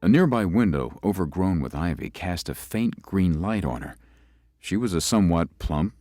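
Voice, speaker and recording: An actor playing a young male lawyer who narrates an eerie tale in a literary, measured, dramatic way. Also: low-pitched, a little mellow, no room sound, studio quality. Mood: unsettled, wary. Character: young lawyer narrating his eerie stay, uneasy, athletic man. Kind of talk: scripted first-person ghost story narration.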